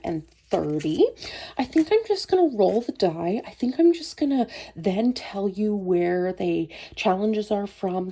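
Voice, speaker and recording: A woman's voice: mid-range voice, creaky, dark tone, slightly rough. A woman with a cold and a sore throat, chatting casually through a budgeting vlog in a friendly, rambling way. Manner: friendly, rambling, frequent ums. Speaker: budgeting vlogger.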